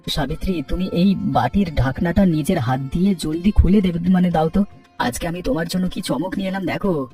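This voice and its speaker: Man playing a humble poor villager, fairly high voice. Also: a little fast, mellow, lightly acted. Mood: affectionate, proud. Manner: earnest, deferential. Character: humble, grateful poor rickshaw puller and husband. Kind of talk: dubbed cartoon dialogue. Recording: distant-sounding, music underneath.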